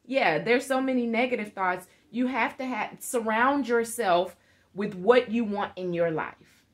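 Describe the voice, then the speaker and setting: A woman's voice: fairly high pitch, measured pace. Earnest woman on a home video call. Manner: earnest, gestures while talking, laughs often. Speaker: guest speaker on a live video call interview. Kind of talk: motivational talk. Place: living room at home. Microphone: webcam on a video call.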